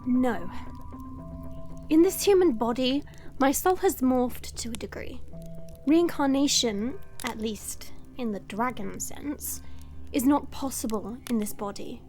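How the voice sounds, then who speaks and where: A haughty princess, a dragon in human form, acted by a woman, speaks in a high voice. Her delivery is formal and imperious, as in a fantasy audio drama, with music underneath. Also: slow pace, a little mellow. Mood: grave explaining. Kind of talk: audio roleplay drama acting. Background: background music.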